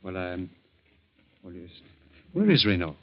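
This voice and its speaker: A man playing a nervous, earnest fugitive who speaks haltingly in an old-time radio drama, on a dull vintage recording. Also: low voice, big pitch swings, exaggerated voice. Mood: uneasy, guarded. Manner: hesitant, halting. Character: nervous, earnest wanted Austrian man protesting his innocence.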